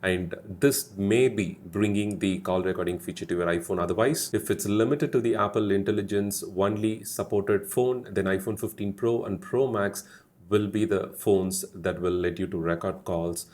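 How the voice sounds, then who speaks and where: Male tech video presenter, low voice, measured explanatory delivery aimed straight at viewers. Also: a little mellow.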